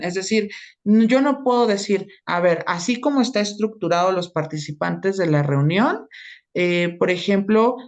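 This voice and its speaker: A woman teacher, giving an online lesson. She explains each idea step by step and keeps checking in with short tag questions. Her voice sits at an ordinary middle pitch. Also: a little mellow. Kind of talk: online class lecture.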